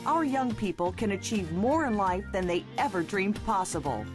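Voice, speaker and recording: A woman announcer reads the show's scripted opening with a lively, swinging delivery. Music plays clearly underneath her voice-over. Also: mid-range voice, normal speed. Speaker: show announcer. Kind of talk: scripted intro narration.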